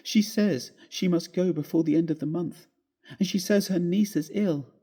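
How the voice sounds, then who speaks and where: A man's voice: sits high, normal speed, mellow, breathy edge, voice pushed up. A man voicing a tearful, fretful young newlywed wife in a storybook reading, with a plaintive manner. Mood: tearful, upset.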